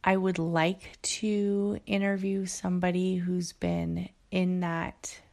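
Female solo podcast host, low voice with vocal fry, unhurried, profane and earnest. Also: little pitch movement, a little mellow. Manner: profane, frequent fillers and restarts. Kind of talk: casual solo podcast monologue.

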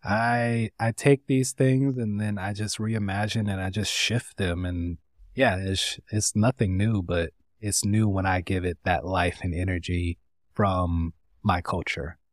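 A man's voice: low-pitched, measured pace, no room sound. Laid-back man, dry close sound. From North Carolina. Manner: relaxed, rambling, many "like" fillers. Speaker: interview guest, artist and tattooist. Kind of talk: casual conversation.

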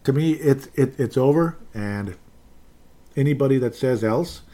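A man's voice: mid-range voice, measured pace, warm tone. A man hosting a casual sports podcast, rambling and correcting himself with wry asides. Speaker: podcast host.

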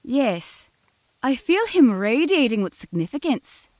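An actress playing a dignified, solemn selkie elder with formal, ornate speech in a fantasy audio drama. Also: female voice, high-pitched, big pitch swings, measured pace, dry recording. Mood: mystical, stately.

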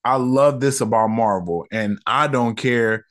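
A man's voice: medium pitch, measured pace. Laid-back man hosting a solo review podcast. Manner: relaxed and conversational, with slang and frequent fillers. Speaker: podcast host reviewing an episode.

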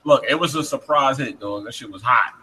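A man in his late thirties co-hosting a casual gaming chat, animated, insistent and laughing often. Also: medium pitch, fairly quick, over a noisy crowd, poor audio. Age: 30s. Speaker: podcast co-host. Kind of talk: casual banter among friends.

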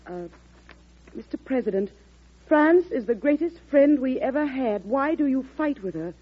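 An actress playing an earnest, anxious, deferential presidential secretary in old-time radio drama style, with a slightly husky voice. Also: female voice, sits high, animated, normal speed. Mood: grave reading. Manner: deferential, concerned. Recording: dull vintage radio recording.

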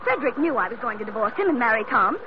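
An emotional, anxious woman in a vintage radio melodrama. She pleads breathlessly, her voice swooping up and down. The sound is muffled with clear background noise. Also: on the high side, sing-song, rapid-fire. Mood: dismayed, pleading. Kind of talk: 1940s radio melodrama acting. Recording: muffled, hissy old radio recording.

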